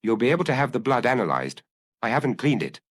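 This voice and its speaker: A man's voice: mid-range voice, big pitch swings, fast, breathy edge, no echo. A man voicing a troubled young Frenchman in an audiobook, melancholy and hesitant as he explains himself earnestly. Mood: resigned. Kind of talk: dramatised audiobook dialogue.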